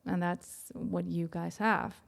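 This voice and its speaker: A woman's voice: on the low side, fairly even intonation, slow, gravelly. A woman from Iceland hosting a casual podcast interview, chatty and full of "like.". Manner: chatty, heavy use of "like". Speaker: podcast host.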